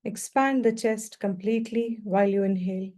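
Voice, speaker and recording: Female meditation teacher: warm, dark-toned, mid-pitched voice, gentle unhurried instructions delivered in short phrases. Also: steady tone.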